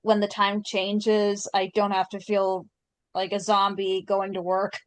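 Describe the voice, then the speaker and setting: A woman's voice: mid-range voice, monotone, unhurried, no room sound. A woman co-hosting a casual podcast chat in an upbeat, chatty way. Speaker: podcast co-host.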